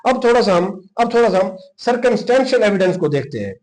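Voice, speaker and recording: A man's voice: high voice, very animated, quick pace, a little mellow, rough recording. A man with a Pakistani accent teaching informally, explaining and dictating notes, repeating key terms and mixing in English technical words. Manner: mixes English legal terms into Urdu speech. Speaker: teacher of a law exam-prep class.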